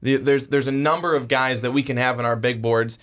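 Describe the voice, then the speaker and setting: A man talks through sports picks on a podcast in a steady, measured way. His voice is mid-pitched and plain. He pauses often on small fillers. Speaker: podcast co-host.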